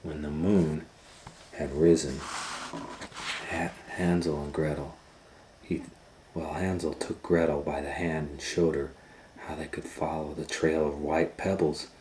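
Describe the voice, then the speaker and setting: A man reading a children's story aloud with dramatic delivery, swinging between hushed and loud. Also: very deep voice, level tone, takes it slowly, long pauses. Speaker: storytime reader and host. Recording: roomy echo, faint background noise.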